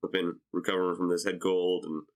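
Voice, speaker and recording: A man's voice: low voice, little pitch movement, brisk. A young man co-hosting a podcast, sounding stuffy from a head cold, casual with dry jokes. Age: young adult. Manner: dry, joking, laid-back. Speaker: podcast co-host. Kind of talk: casual chat between brothers.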